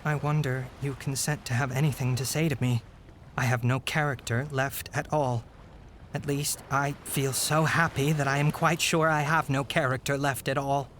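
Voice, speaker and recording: An actor playing a bumbling, scheming male vampire suitor in a comedy horror audio drama, halting at times and declaiming falsely adoring lines. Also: mid-range voice, fairly even intonation, a bit bright, a little hiss or hum, natural voice. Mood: theatrically adoring. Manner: halting, then stagily declaiming.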